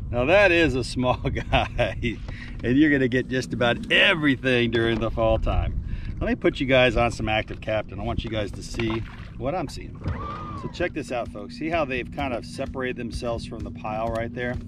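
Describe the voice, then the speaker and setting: A man's voice: normal speed, background noise. A middle-aged man, a fishing guide, talking casually and enthusiastically to camera while fishing from a boat on a lake, recorded on an action camera. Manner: enthusiastic, chatty salesman pitch with fillers. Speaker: fishing guide and channel host. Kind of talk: outdoor fishing vlog, product talk.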